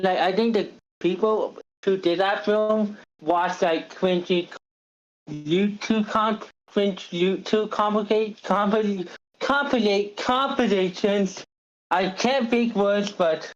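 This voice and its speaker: Slow-talking woman on dull meeting audio. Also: mid-range voice. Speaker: table read participant giving feedback. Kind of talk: casual chat. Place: online meeting.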